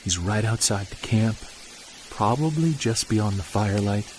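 A man acting as a commanding wilderness monster hunter in an audio drama. His voice sits fairly low, terse and urgent, giving orders with tense authority. Clear outdoor ambience runs behind him. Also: unhurried, a little mellow, slight background noise, close to an everyday voice. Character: tense, commanding male Bigfoot hunter in the woods.

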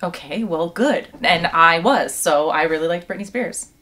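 A young woman chatting casually on a living-room couch, talkative and quick to laugh. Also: lowish voice, a bit bright. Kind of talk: casual chat.

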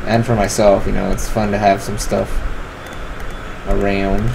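A young man in his twenties talks through his game in a slow, rambling way, stopping often for fillers. His voice sits a bit low, and a steady air-conditioner hum runs underneath the home recording. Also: gravelly. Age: 20s. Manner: rambling, filler-heavy, relaxed. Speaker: gaming channel host. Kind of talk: casual gameplay commentary.

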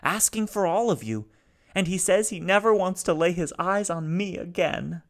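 A man voicing an old male alien machine creature, rasping and anguished as he pleads to be killed. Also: high voice, lively intonation, moderate pace, voice pushed up. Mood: despairing plea.

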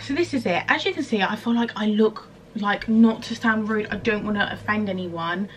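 A woman's voice: medium pitch, normal speed, a little hiss or hum, rough recording. A young woman chatting frankly to camera in her bedroom about a dress she is trying on, filler-heavy and casual. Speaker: fashion vlogger. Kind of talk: casual try-on chat to camera. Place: small bedroom at home. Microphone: camera mic at arm's length.

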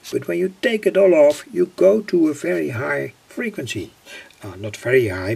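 A man explaining a homemade electronic circuit informally, in a halting, deliberate way with pauses. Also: mid-range voice, creaky, darkish tone, slightly rough. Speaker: electronics hobbyist presenter.

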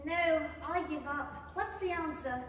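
A woman's voice: high-pitched, measured pace. A woman playing a curious, proper young girl who is polite but easily indignant, in an old-time radio play style. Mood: puzzled. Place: stage in an empty theatre hall. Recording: very echoey hall, distant mic, faint noise.

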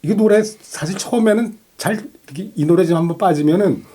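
A man's voice: high voice, fairly quick, warm tone, slightly rough. A middle-aged man in casual conversation as a co-host of a music talk show, speaking effusively and repeating himself for emphasis. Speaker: podcast co-host.

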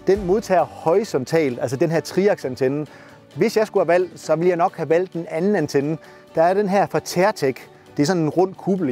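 A man in his forties casually showing and explaining caravan equipment, outdoors at dusk and then inside a caravan. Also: fairly high pitch. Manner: relaxed explaining, gesturing while showing gear. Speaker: caravan dealer presenting equipment. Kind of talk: casual walk-through demonstration.

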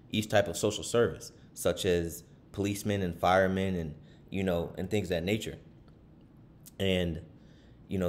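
A man's voice: low voice, darkish tone, a little hiss or hum. A man giving casual commentary over his screen, rambling with many fillers and restarts and reading passages aloud from a web page.

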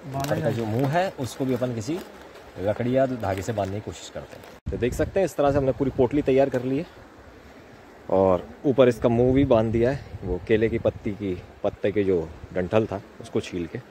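A man's voice: mid-range voice, moderate pace, mellow. A man in his thirties from India, chatting casually to viewers as he cooks outdoors by a river, filmed on a phone held at arm's length. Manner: chatty, addresses viewers as friends. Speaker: vlogger. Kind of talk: casual outdoor cooking vlog. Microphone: phone camera at arm's length. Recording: noisy outdoor phone recording.

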